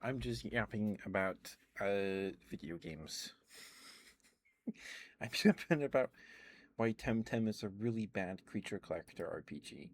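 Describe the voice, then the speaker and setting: A man with a very deep voice rambles softly into a close mic during a live ASMR stream. He meanders between topics, filling pauses with ums and small laughs. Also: a little hiss or hum. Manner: meandering, chatty, lots of ums and little laughs. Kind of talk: soft rambling ASMR chat with live viewers.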